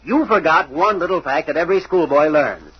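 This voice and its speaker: A man's voice: fairly high pitch, expressive, a little fast, slightly rough. An actor playing a smug, self-assured know-it-all in an old radio mystery, lecturing as he picks apart a story. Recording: dull old radio recording.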